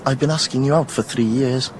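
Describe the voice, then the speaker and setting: A man's voice: mid-range voice, a little fast, close to an everyday voice. An actor playing an awkward, smitten Welsh teenage village boy in a naturalistic radio drama. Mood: sheepish, bantering. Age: young adult. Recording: noisy outdoor ambience.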